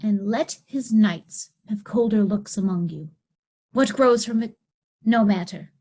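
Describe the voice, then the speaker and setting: A woman's voice: medium pitch, measured pace, warm tone. A woman playing a haughty, resentful royal daughter in a readers' theatre Shakespeare play, imperious and scornful. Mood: scornful, commanding.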